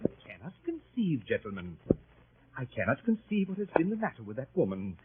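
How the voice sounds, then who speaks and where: An actor playing a fussy, earnest gentleman with a formal, hesitant manner, in old radio drama style. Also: medium pitch, huge pitch swings, a little fast. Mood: bewildered. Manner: formal, hesitant, stammering indignation. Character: fussy, earnest, easily flustered English gentleman club leader. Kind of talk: 1930s radio acting. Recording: muffled, scratchy 1930s radio broadcast.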